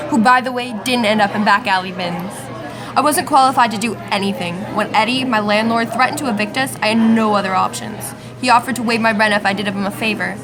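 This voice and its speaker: A woman's voice: medium pitch, natural voice. A woman playing a self-justifying jailed mother in an amateur radio play.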